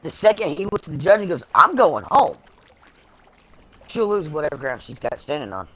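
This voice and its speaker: A woman's voice: deep voice, very animated, fast talker, darkish tone. A middle-aged woman telling personal stories in a blunt, salty, casual way on a live stream, acting out what people said. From Ukraine. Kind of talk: casual chat, storytelling. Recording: music behind the voice.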